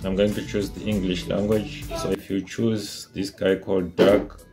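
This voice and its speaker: A man with a low voice walks viewers through a video game in a casual tutorial. He explains plainly, one step at a time, with some fry in his voice and clear music behind him. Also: level tone, normal speed. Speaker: tutorial host.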